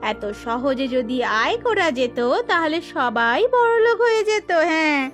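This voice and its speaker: A woman's voice: high-pitched, very animated, a little slow, a bit bright, soft background music, heavily acted. A woman voicing a mocking, scornful village sister-in-law in a cartoon, with a taunting, sneering delivery. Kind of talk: Bengali village cartoon dubbing.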